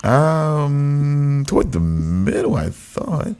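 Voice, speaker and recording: A man's voice: fairly high pitch, sing-song, very slow, a little mellow, clean tone. A man co-hosting a podcast in casual chat, teasing and repeating himself. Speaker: podcast co-host.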